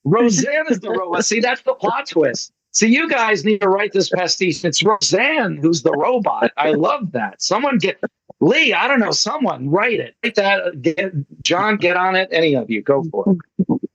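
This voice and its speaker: Guy on a video call, bit high voice, gushing and repeating himself, dry slightly dull audio. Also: big pitch swings, normal speed, fairly bright tone, breathy edge, no room sound. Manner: effusive, rambling, repeats himself for emphasis. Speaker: playwright and guest speaker. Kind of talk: casual online talk answering questions. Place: online video meeting.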